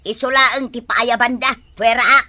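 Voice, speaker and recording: A woman's voice: on the high side, fairly even intonation, moderate pace, bright, forceful tone, no room sound. A woman in an Ilocano radio drama playing a proud, stubborn older mother, scornful and boastful. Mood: defiant.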